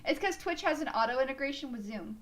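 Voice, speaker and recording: A young woman co-hosting a cosplay livestream, soft-spoken and giggly, chatting casually over a video call mic. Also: sits high, expressive, quick pace, a crowd in the background. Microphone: desktop mic on a video call.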